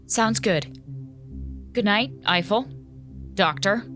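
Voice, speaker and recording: A space station commander, firm n dry, very lively pitch, music behind. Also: female voice, takes it slowly. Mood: controlled indignation. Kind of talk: audio drama acting.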